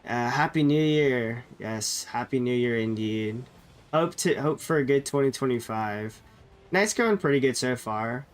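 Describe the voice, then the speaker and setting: A man's voice: mid-range voice, lively intonation, measured pace, faint music behind. A man streaming a game while sick with a cold, sounding nasal and chatting loosely with viewers, often correcting himself. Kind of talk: casual live-stream chat.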